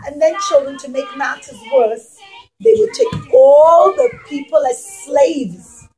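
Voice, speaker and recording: Children's storyteller: woman, high voice, dramatic delivery, emphatic pauses, questions for young listeners. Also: animated, mellow, poor audio.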